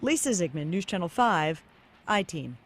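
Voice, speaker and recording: A woman's voice: lowish voice, sing-song, moderate pace, a little hiss or hum. A woman with an American accent narrating a scripted investigative television news report.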